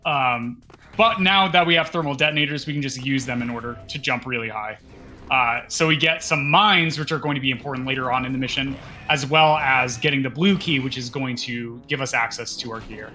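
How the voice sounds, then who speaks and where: A man's voice: mid-range voice, a little fast, sharp tone, soft background music. A man giving casual, explanatory live commentary on a video game speedrun.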